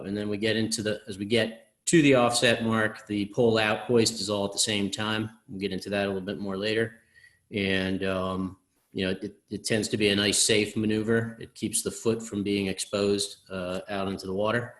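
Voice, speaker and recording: A man's voice: on the low side, a bit bright. A man on a racing sailboat's crew, describing a maneuver step by step in a methodical way. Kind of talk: webinar explanation. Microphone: video call.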